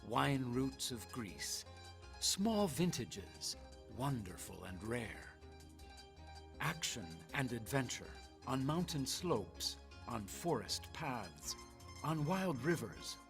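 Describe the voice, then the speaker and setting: Male VO, slow lyrical travel-promo read, music bed underneath. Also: medium pitch. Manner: slow, poetic, measured delivery. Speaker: promotional video narrator. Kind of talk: scripted tourism narration. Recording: narration over loud music.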